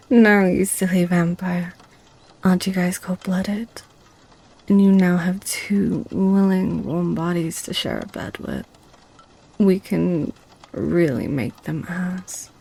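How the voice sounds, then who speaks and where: A woman playing a playful, seductive succubus in an ASMR roleplay, with a breathy, whispery, coaxing delivery. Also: on the low side, warm tone, heavily acted. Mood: playful, persuasive. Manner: teasing, coaxing, slow. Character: playful, teasing female succubus, seductive and possessive.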